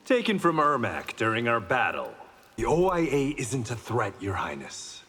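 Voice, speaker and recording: A man's voice: mid-range voice, wildly swooping pitch, moderate pace, fairly bright tone, breathy edge, heavily acted. An actor playing a proud, wry blind swordsman and former gangster, speaking in dry, guarded, terse lines in a video game. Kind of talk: video game fight banter. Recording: reverberant game hall sound.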